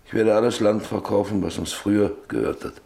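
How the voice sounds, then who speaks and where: A man acting in a German radio drama, playing a stubborn, guilt-ridden middle-aged landowner who broods haltingly and repeats his phrases. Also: lowish voice, fairly even intonation, vocal fry, dark tone. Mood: resigned, resolute.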